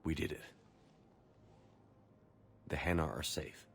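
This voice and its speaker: Game hero commander: deep, matter-of-fact, dependable, few words. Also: male voice, steady tone, slow pace, vocal fry, a little hiss or hum, full character voice. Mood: firm. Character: steady, helpful male military commander hero. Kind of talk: video game acting.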